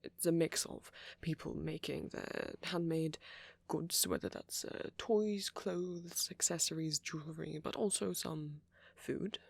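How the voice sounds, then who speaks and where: A man playing a friendly, flirty vampire in an intimate ASMR roleplay, softly spoken, polite and apologetic as he rambles. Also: on the high side, a little slow, vocal fry, no room sound. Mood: friendly, inviting. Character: friendly, flirty, gentlemanly male vampire, chatty and considerate.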